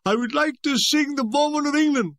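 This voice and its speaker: A time-ravaged, very fat middle-aged tenor, as a man plays him. The voice is high, nasal and thick with catarrh. He addresses the room in halting, formal phrases, recorded close and dry. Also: fairly bright tone, no echo. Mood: grand.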